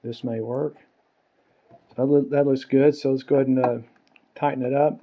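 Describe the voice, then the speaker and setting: A man's voice: medium pitch, flat delivery, normal speed, mellow. A man giving a casual, plain-spoken hands-on demonstration, explaining things step by step as an instructor.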